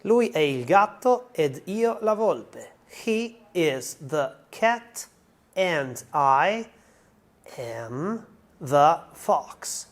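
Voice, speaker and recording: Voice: mid-range voice, sing-song, drawn-out words. A language teacher giving an explanatory song translation lesson, going through it carefully word by word.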